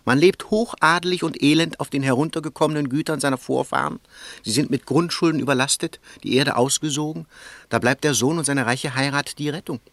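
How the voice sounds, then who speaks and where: A man's voice: a little high, fairly quick, a bit bright, no room sound. An actor playing a scornful, calculating bourgeois businessman in a German radio play, with a sardonic, articulate delivery. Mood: insinuating.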